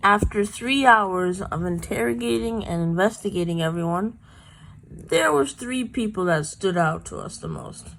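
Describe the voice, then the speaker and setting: A woman's voice: mid-range voice, lively intonation, a little slow, vocal fry, fairly bright tone, rough recording. A woman giving scripted narration as a detective's partner in an amateur radio play, with some noise and clicks on the recording.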